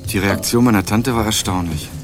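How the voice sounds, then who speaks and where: A man's voice: lowish voice, brisk, music in the background. A young man narrating a radio crime drama in the first person with dry, wry irony. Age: young adult.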